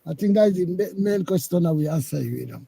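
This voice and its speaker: A man's voice: high-pitched, lively intonation, fast, gravelly, dark tone, breathy edge. A man from Leone, calling into a live discussion and arguing his point, repeating himself. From Sierra Leone. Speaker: caller.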